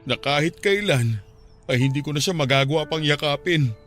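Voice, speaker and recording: A man's voice: fairly high pitch, normal speed, a bit bright, soft background music, close to an everyday voice. An actor playing a heartbroken widowed father in a radio drama, talking tearfully, his voice breaking with grief. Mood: sorrowful. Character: grieving widowed father, middle-aged, heartbroken and tender.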